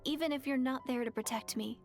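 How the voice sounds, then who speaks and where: An actress voicing an earnest, hopeful young woman in a video game, speaking sincerely and gently. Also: high-pitched, fairly quick, faint music behind.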